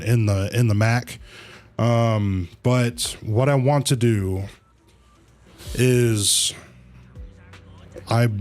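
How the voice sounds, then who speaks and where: A man chatting casually to viewers as a livestreamer, halting and rambling, with easygoing slang. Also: medium pitch, darkish tone. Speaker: live streamer, host of own channel. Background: music.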